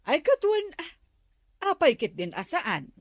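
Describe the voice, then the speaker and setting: A woman's voice: high-pitched, wildly swooping pitch, measured pace, no echo, clean studio sound, full character voice. A woman with an Ilocano accent playing a drawling, bantering village woman in a comedy drama. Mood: puzzled.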